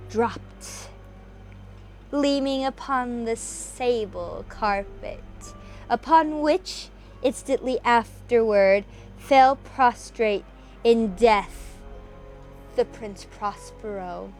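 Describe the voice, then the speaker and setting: A woman with a theatrical, expressive way of speaking, presenting horror stories as an amateur reader and host. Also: sits high. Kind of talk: dramatic reading, then enthusiastic casual chat.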